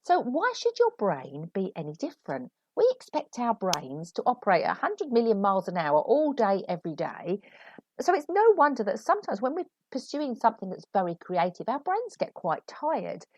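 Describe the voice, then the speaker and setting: A woman hosting a podcast and giving writing advice in a chatty, animated way, a little sniffly from a cold. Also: mid-range voice, moderate pace, dry recording, highs cut off. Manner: chatty and animated, laughing asides. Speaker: podcast host and author. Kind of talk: solo podcast advice talk.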